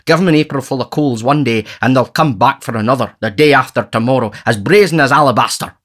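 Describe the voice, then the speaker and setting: A man voicing a pompous, self-important middle-aged parish beadle in an audiobook, lecturing loudly. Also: medium pitch, fast talker, pitched above the performer's own voice, lightly acted. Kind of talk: audiobook character voice.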